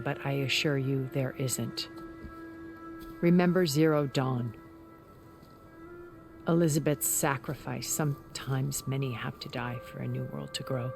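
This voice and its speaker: A calculating, condescending member of an elite in a game cutscene. Her voice is low, measured and formal, over clearly audible music. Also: full character voice. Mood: cool persuasion. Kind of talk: video game cutscene acting. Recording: dramatic music behind the voice.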